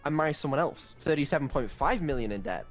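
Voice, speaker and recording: A man's voice: fairly high pitch, expressive, quick pace, faint music behind. A man chatting casually and bantering as a livestreamer.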